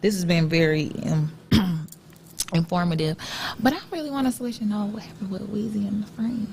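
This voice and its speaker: A woman's voice: mid-range voice, normal speed, gravelly, a little mellow, a little hiss or hum. A young woman from Ohio co-hosting a podcast, talking candidly and casually, laughing and swearing freely. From Akron, Ohio. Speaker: podcast co-host. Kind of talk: casual chat.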